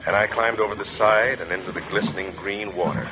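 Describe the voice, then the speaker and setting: A low-voiced, hard-boiled salvage diver played by a man in a 1940s radio thriller, cool-headed and laconic. The old recording is dull and heavy with noise. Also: fairly even intonation, fairly quick, close to an everyday voice. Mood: brooding narration. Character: tough, cool-headed salvage diver narrating his own adventure. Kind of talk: 1940s radio acting, first-person narration and dialogue.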